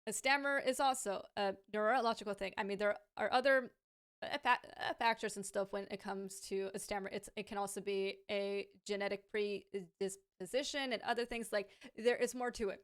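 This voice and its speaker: A woman's voice: medium pitch, fairly even intonation, moderate pace, clear, resonant tone. A woman streamer answering viewer questions in a casual, frank way, stammering often as she repeats sounds and restarts words. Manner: thoughtful and frank, with light laughs.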